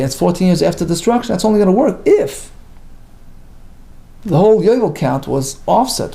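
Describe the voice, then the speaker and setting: Lively, gravelly man teaching Talmud. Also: a little high. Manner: reads Hebrew and Aramaic phrases then translates, lively and emphatic. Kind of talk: daily page lesson, reading and explaining.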